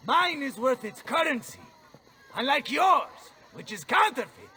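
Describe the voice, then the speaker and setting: An actor playing a blustering, hot-tempered Italian mercenary general in a video game, with an Italian accent and a bombastic, theatrical manner. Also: male voice, very high-pitched, animated, unhurried, sharp tone, distant mic, a little hiss or hum, full character voice. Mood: furious, defiant. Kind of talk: dramatic video game acting.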